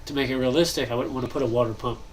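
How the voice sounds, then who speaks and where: A young man with a stuffy, nasal voice, chatting casually and thinking aloud while he builds in a game, pausing often to cough or clear his throat. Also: medium pitch, steady tone, a little hiss or hum. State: congested, nasal. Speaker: gaming channel host.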